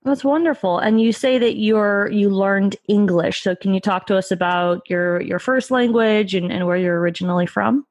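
A woman's voice: mid-range voice, steady tone, moderate pace, few pauses, darkish tone, no echo, clean studio sound. A woman hosting a podcast interview, upbeat and encouraging with her guests. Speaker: podcast host.

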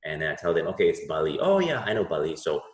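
A man in his thirties from Indonesia, a software business owner answering interview questions casually, with many fillers and restarts. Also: rumbling low, sing-song, fast. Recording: roomy echo.

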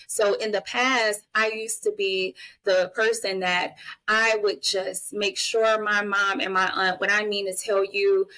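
A woman's voice: medium pitch, a bit bright, rough recording. A woman in her late thirties speaking as a podcast guest, giving candid, emphatic personal advice straight to the listeners. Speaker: podcast guest, mental health advocate and guardian. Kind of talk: conversational interview, personal testimony and advice.

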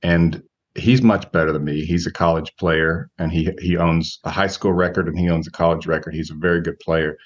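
A man in his forties giving measured, reflective answers as a guest coach in an interview, thinking aloud as he goes. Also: sits low, steady tone, breathy edge, lo-fi sound. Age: 40s.

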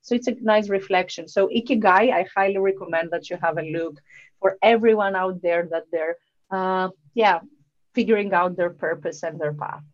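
A woman's voice: mid-range voice, steady tone, moderate pace, muffled recording. A woman with a Southern European accent, a non-native English speaker, talking as a coach in a conversational podcast interview. She explains things step by step, often posing questions and answering them herself. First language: not English. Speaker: guest, leadership coach.

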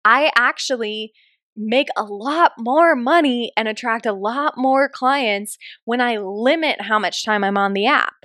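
A woman's voice: on the high side, measured pace, bright, forceful tone, no room sound, studio quality. A woman hosting her own podcast, chatting casually, laughing often and checking in with "right?". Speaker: podcast host. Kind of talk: casual solo podcast talk.

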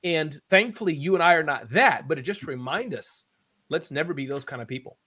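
A high-pitched man talks with big, lively swoops in his voice. He is energetic and loud, projecting like he is filling a room. He keeps the chat playful with jokey asides. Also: fairly quick, husky. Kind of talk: casual conversation.

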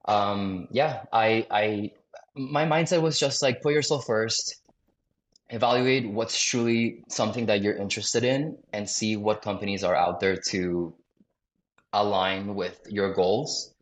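A young man from South Florida talks casually as a podcast guest. His voice sits fairly low. He rambles through fillers and restarts, and the room around him sounds echoey. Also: fairly even intonation, measured pace. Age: young adult. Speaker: sales director, podcast guest. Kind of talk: casual interview talk.

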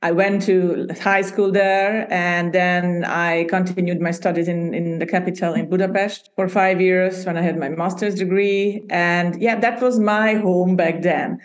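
A woman's voice: on the low side, steady tone, a little slow, hardly any pauses. A woman from Hungary who speaks Hungarian as her first language, chatting as a podcast guest and often laughing as she talks. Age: adult. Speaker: podcast guest, agency founder. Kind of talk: casual interview chat.

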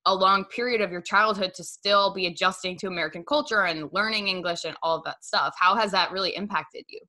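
Bright-voiced woman hosting an interview. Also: mid-range voice, brisk. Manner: supportive, curious questioning. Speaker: podcast host and coach. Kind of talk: interview questions.

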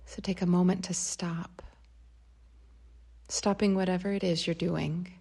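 Slow, breathy, creaky woman guiding meditation. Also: fairly low pitch. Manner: calm, unhurried, gentle phrasing with long pauses. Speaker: meditation guide. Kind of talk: guided meditation, slow and soft instruction.

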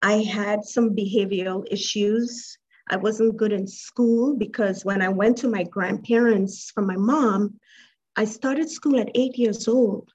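A woman from Trinidad and Tobago, a guest recounting her childhood in an interview. She speaks slowly and reflectively, pausing with soft hesitations, and her mid-pitched voice comes through somewhat muffled, lo-fi call audio. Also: fairly even intonation, slightly rough. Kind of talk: personal life story in an interview.

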